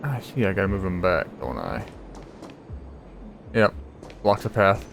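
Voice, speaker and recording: A man's voice: low-pitched, big pitch swings, unhurried, creaky, slight background noise. A man giving casual game commentary, thinking aloud and muttering playful asides to himself as he plays. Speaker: gamer streaming a playthrough. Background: game music.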